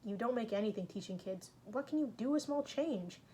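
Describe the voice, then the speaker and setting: A woman's voice: on the high side, expressive, fairly quick, warm tone, slight background noise, lightly acted. A woman voicing a troubled, brooding young man in a read-aloud story, close to her own voice.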